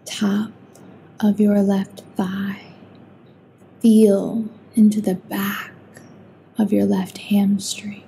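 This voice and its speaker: A woman guiding a sleep meditation with soothing, unhurried instructions and long pauses between phrases. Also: mid-range voice, flat delivery, gravelly, mellow, a little hiss or hum. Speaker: meditation guide.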